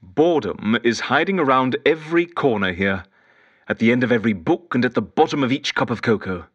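A man's voice: medium pitch, expressive, a little fast, muffled recording, close to an everyday voice. An actor playing a lonely, eccentric male detective who rambles fussily and whimsically into an audio diary in an audio drama. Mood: bored, wistful. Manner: fussy, whimsical, wistful, digressive. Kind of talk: audio drama monologue as spoken journal.

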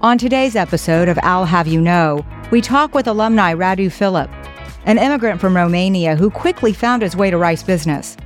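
A woman's voice: on the low side, animated, fairly quick, soft background music. A woman hosting a podcast, asking upbeat, conversational questions. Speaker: podcast host. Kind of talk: interview hosting.

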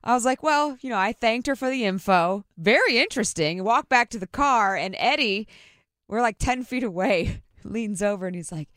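A woman co-hosting a morning radio show, telling a self-mocking story with animated delivery. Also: a little high, normal speed, dry recording, studio quality. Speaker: radio co-host. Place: radio studio.

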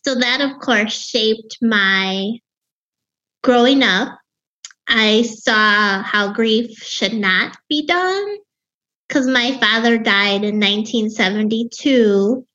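A woman in her fifties with a Wisconsin accent talks about her life as a podcast guest. Her voice sounds young and sits fairly high, and she pauses and restarts as she reflects. The recording is a bit dull and lo-fi. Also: pushed and bright. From Wisconsin, USA. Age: 50s. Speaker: author and grief guest. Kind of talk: personal storytelling in an interview.

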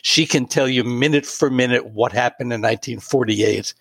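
A man in his late sixties telling a personal story in an interview, pausing and restarting often. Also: mid-range voice, creaky, no room sound. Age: 60s. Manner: warm, halting anecdotal storytelling with many restarts. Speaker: guest.

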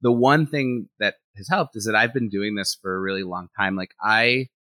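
A man speaks in a low, bright voice in a dry, close recording. He is talkative and thinks out loud, leaning on "like" as he explains his work as an animator and director in a relaxed interview. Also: normal speed, no room sound. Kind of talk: casual interview conversation.

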